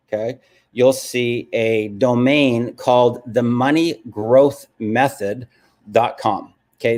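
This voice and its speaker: A man hosting a live stream, answering viewers and stressing points with frequent "okay?" check-ins. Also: medium pitch. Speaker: host of a live business stream.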